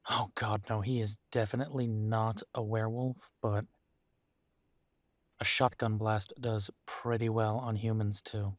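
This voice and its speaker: A man playing a protective, weary but determined werewolf pack leader in an intimate roleplay audio drama, speaking deliberately with pauses. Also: fairly low pitch, little pitch movement, no echo, lightly acted. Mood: grave, cautious.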